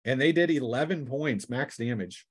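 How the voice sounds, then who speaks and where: A man runs a tabletop role-playing game in a mid-pitched voice with a close, dry sound. He narrates casually as game master and teases his players playfully. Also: expressive, normal speed, breathy edge, dry recording.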